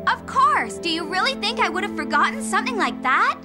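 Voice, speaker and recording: A woman voicing a cheerful, eager young witch girl in an animated cartoon. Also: very high voice, a little fast. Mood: affectionate. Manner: bright, eager childlike delivery. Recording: music underneath.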